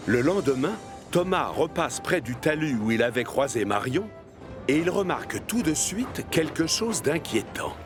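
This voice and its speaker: A man's voice: fairly high pitch, sing-song, normal speed, over music. A man narrating a children's story from a script.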